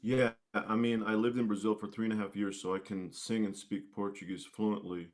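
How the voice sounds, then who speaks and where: A man with a low-pitched voice speaks as a guest in a casual interview. His phrasing is hesitant and halting, in an unscripted conversational style. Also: little pitch movement, darkish tone. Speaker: guest, musician and martial arts instructor.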